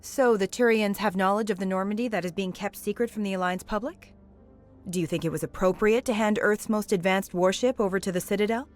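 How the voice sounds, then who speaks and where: A woman's voice: mid-range voice, a little fast, a little mellow, soft background music, lightly acted. Pointed, polished female news reporter played by an actress. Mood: probing, pointed. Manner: polished, insinuating, pressing. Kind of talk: cinematic game voice acting.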